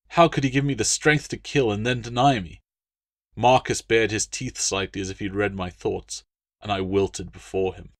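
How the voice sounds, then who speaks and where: Low, very dry male audiobook narrator. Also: dry recording. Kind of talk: scripted first-person novel narration.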